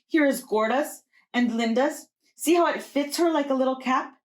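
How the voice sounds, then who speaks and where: A woman's voice: sits high, normal speed, pitched above the performer's own voice, close to an everyday voice. A woman voicing a proud, indignant old woman farmer from a storybook, exclaiming emphatically. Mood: insistent.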